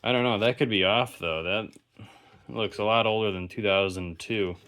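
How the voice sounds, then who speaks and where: A man's voice: on the low side, normal speed, a bit bright. A man hosting an electronics video, giving casual, unscripted commentary and rambling as he thinks aloud. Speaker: host of a vintage electronics inspection video.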